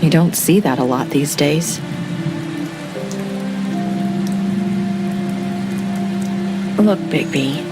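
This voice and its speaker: A woman's voice: low-pitched, moderate pace, heavy background noise, close to an everyday voice. An actress playing an anxious young woman confessing in a game, talking in hesitant, pleading bursts. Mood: earnest. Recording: game audio with loud music.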